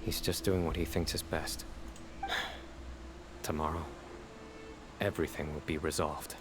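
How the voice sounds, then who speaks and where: Deep, stoic young general. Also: male voice, little pitch movement, noisy background. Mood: pensive, grave. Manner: measured, serious delivery. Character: stoic, solemn young male general and enforcer. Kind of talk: scripted video game voice acting.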